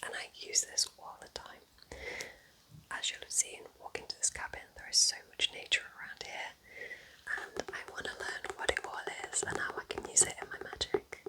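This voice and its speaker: A woman whispers breathily in a gentle, chatty ASMR roleplay as a kind witch at home in her cabin. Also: moderate pace, rough recording. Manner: gentle, chatty, informal asides.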